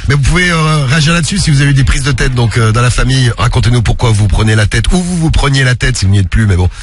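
A man's voice: mid-range voice, expressive, rapid-fire. A man hosting a late-night call-in radio show, chatting and teasing callers in a jokey way. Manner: jokey, teasing, puts on a mock Quebec accent. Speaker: radio host.

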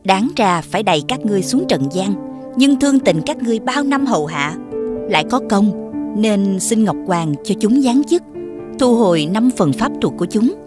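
A woman's voice: medium pitch, lively intonation, normal speed, music in the background, natural voice. Woman as a regal, merciful heavenly queen. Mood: calm, merciful. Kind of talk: animated fairy-tale voice acting.

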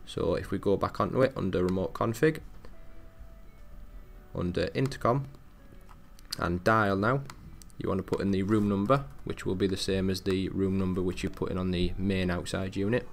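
A man with a low, creaky voice presents a technical how-to. He talks informally and matter-of-factly, guiding the listener step by step as a support technician would. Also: steady tone, moderate pace. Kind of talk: casual step-by-step software tutorial narration.

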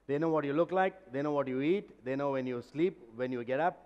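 A man's voice: on the high side, a little fast, lo-fi sound. A man, speaking directly and emphatically as a conference speaker on stage. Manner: direct, emphatic, gesturing while talking. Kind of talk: conference talk and Q&A. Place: large conference hall stage. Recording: long hall reverb.

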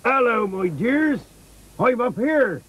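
A man's voice: very high-pitched, very animated, slow, a bit bright, voice pushed up, exaggerated voice. A man voicing a jolly, kindly old boatman for a children's story. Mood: hearty calling. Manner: chuckling, rustic nautical turns of phrase.

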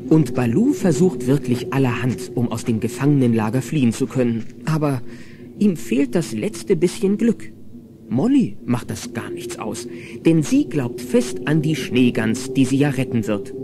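A man narrating a children's radio play in a lively storytelling manner. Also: medium pitch, normal speed.

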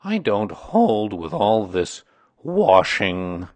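A performer voicing a gloomy old male donkey in a children's story, grumbling in a deadpan manner. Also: deep voice, drawn-out words, no echo, heavily acted.

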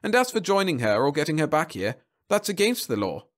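A man's voice: on the high side, big pitch swings, fairly quick, fairly bright tone, pitched above the performer's own voice. A man voicing a smug, officious government marriage counsellor with a gloating, bureaucratic manner in a story. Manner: snappish, smugly bureaucratic.

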